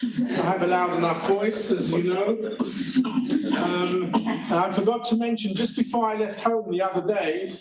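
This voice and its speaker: A man's voice: high voice, fairly even intonation, unhurried, crowd noise. A man organizing a conference, speaking in a chatty, self-deprecating way as he introduces the next speaker in an auditorium. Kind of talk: informal remarks introducing a speaker. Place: large university auditorium. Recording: roomy hall, far off, audience around.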